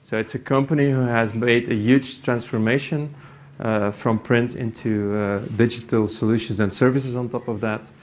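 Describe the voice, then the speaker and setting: A middle-aged man from Belgium, a native Dutch speaker with a Belgian Dutch accent, giving a conference talk in a meeting room, halting, with many fillers and restarts. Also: mid-range voice, fairly even intonation. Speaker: conference speaker, company IT executive. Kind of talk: conference presentation.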